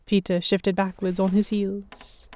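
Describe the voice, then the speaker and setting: A woman's voice: mid-range voice, little pitch movement, measured pace, a little mellow. A woman giving scripted first-person narration for a historical audio drama. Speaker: narrator and lead actor.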